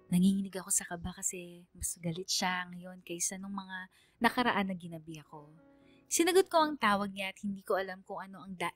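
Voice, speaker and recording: A woman's voice: fairly low pitch, no echo, natural voice. Close, dry, confiding Filipino narrator voiced by a woman. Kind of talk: first-person radio drama narration.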